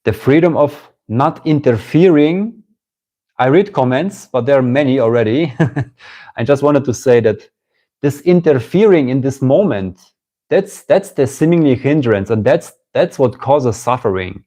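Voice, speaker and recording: Soft-spoken German-accented man musing slowly. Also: mid-range voice, expressive. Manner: slow, musing, repeats phrases, greets viewers. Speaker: spiritual teacher. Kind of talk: live-stream spiritual talk.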